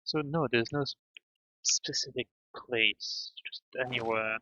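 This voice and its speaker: A man's voice: mid-range voice, measured pace, sharp tone, no echo, muffled recording. A man streaming a game, mumbling to himself and reading notes aloud in a casual, half-pleading way. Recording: game music playing behind.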